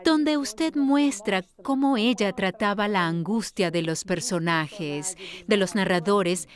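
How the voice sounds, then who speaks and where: A woman's voice: medium pitch, takes it slowly. A woman interviewing a scholar, asking engaged, probing questions. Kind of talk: Spanish voice-over of an interview.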